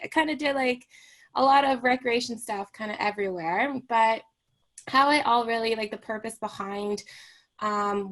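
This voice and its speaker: Young woman from Hong Kong, radio guest, chatty and filler-heavy, mid-pitched voice. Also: a bit bright. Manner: chatty, many fillers and "right?" tags. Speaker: recreation therapist guest. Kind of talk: casual radio interview. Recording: radio studio interview.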